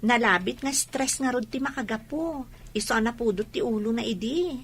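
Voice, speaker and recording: High-voiced friendly young Ilocano woman acted. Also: moderate pace, fairly bright tone, slightly rough. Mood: teasing, caring. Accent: Filipino, Ilocano. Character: friendly, caring young woman named Sally, respectful and teasing. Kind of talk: amateur audio drama acting.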